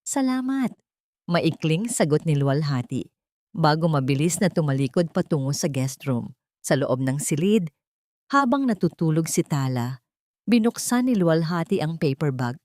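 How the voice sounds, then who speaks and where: Mellow, dark-voiced woman narrating drama. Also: sits low, animated, moderate pace, dry recording, studio quality. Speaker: audio story narrator.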